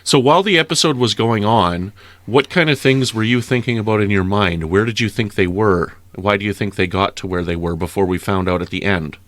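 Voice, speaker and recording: Low-pitched man, patiently explaining and asking. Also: a little fast, dry recording. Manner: patient, explanatory, asks gentle questions. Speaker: guest commentator, interviewer. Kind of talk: casual interview-style discussion of a TV episode.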